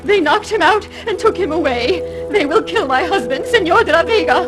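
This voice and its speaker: Very high-voiced, distraught wife. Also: female voice, expressive, normal speed. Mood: distressed, urgent. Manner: pleading, tearful, deferential. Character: distraught, pleading peasant wife, humble and desperate. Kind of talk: video game cutscene acting. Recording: loud music behind the voice.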